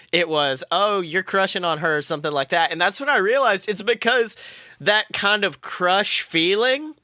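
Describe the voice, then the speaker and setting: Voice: mid-range voice, pushed and bright, dry recording, clean studio sound. A podcast co-host chatting casually and analytically about a cartoon, with some swearing.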